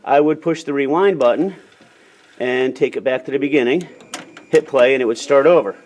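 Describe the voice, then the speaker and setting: American guy teaching a hands-on how-to, plain and casual, medium pitch, bit of noise behind him. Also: moderate pace, a little mellow. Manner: explains plainly in small steps, checking with "okay". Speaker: instructor giving a demonstration.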